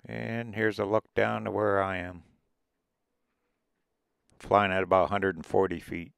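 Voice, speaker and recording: A man with a low, gravelly voice talks in an easy, rambling way, recorded from inside a vehicle as he drives. His words come through smooth and clear, and he points out each sight as it passes. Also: flat delivery, a little slow, a bit bright, no room sound. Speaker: RV travel vlogger. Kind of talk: casual narrated drive and drone tour. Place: inside a vehicle driving desert roads.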